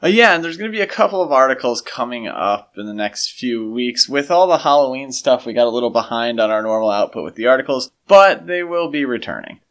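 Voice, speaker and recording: A man speaks at a middling pitch in the easy, conversational way of a podcast co-host. He lays out his points casually, as in a chat with a partner. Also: big pitch swings, brisk, few pauses, fairly bright tone.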